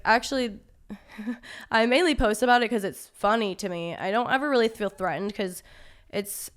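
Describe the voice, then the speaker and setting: Young woman podcast guest, clear and smooth, casual and candid. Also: medium pitch, a bit bright. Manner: casual, many fillers, laughs things off. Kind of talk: candid conversational interview.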